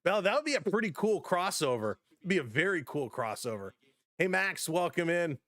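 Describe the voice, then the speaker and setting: A man hosting a casual live-stream baseball play-by-play, chatty and upbeat as he greets viewers, his voice slightly hoarse from overuse. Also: high voice, sing-song, normal speed, fairly bright tone, dry recording, studio quality. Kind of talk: casual play-by-play with chat reactions.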